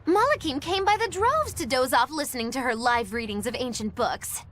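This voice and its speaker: A woman playing a lively, caring young woman in a video game, expressive and a little theatrical. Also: high voice, moderate pace, slight background noise. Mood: admiring. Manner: expressive, animated. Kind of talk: animated video game dialogue.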